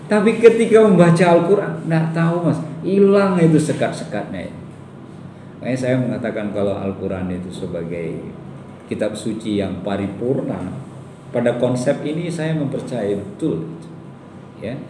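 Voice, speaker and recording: A man's voice: mid-range voice, warm tone. A man with a Sumatran Indonesian accent giving a conversational classroom lecture, putting rhetorical questions to his students. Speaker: university lecturer. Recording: roomy echo, distant mic, faint background noise.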